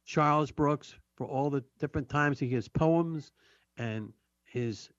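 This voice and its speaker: A man with a slightly high voice hosts a radio talk show. He talks in a loose, grateful ramble full of restarts. The sound is dry and a little dull. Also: unhurried, dry recording. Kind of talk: casual radio chat.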